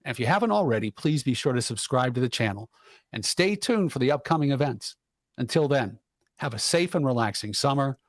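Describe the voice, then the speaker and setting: A man's voice: medium pitch, animated, fairly quick, no echo, studio quality. A man hosting a radio show, reading a scripted sign-off. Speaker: show host.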